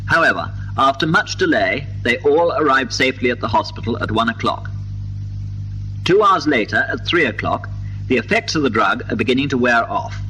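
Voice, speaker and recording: A British man delivering formal, precise, measured scripted narration for a 1950s documentary, on an old film soundtrack. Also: medium pitch, expressive, pushed and bright, soft background music, low-quality recording.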